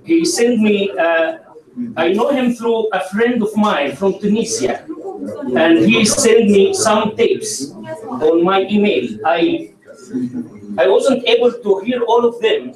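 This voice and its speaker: Man evangelist, testimony at a conference. Halting, heard from a distance in a roomy hall. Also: sits high, expressive, a little mellow. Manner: halting, many fillers and restarts.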